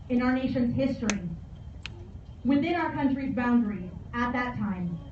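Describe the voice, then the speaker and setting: An American woman in her forties gives a formal memorial speech as a guest speaker, with solemn, measured phrasing. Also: sits high, darkish tone, distant mic. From San Antonio, Texas, United States. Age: 40s. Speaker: guest speaker, veterans service officer. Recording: echoey outdoor PA from afar, dull, faint noise.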